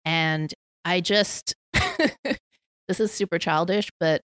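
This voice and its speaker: A woman's voice: fairly low pitch, sing-song, slow, a bit bright, clean tone, no echo, studio quality. A woman from Hong Kong with an American accent, chatting as a podcast guest and laughing often between phrases. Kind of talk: casual conversation.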